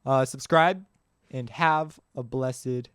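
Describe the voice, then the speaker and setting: A man hosting a casual music software tutorial, talking in an informal, rambling way and often correcting himself as he goes. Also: medium pitch, slow pace, heavy background noise.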